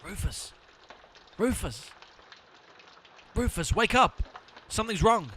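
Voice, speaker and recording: A man acting the long-suffering leader of a comic duo, bossy and exasperated. His fairly high voice swings up and down in a radio play with faint background noise. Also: sing-song, takes it slowly, fairly bright tone, full character voice. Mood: tense, irritated. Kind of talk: amateur comedy audio drama acting.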